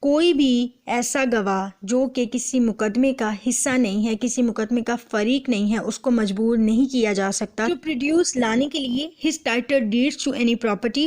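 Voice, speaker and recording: A woman's voice: fairly high pitch, slow, few pauses, darkish tone. A Pakistani woman giving an explanatory lecture, reading and translating line by line as she switches between English and Urdu. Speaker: law lecturer.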